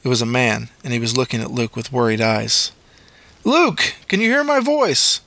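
A man voicing a worried male paramedic who barks at the driver and calms his injured patient. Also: mid-range voice, normal speed, gravelly, dry recording. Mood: alarmed. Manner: urgent, calls the patient buddy. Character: worried male paramedic, urgent but comforting.

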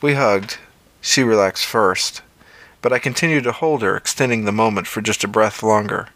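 A man's voice: fairly low pitch, moderate pace, fairly bright tone, no echo, poor audio. A man narrating a scripted first-person story as an audio fiction reader.

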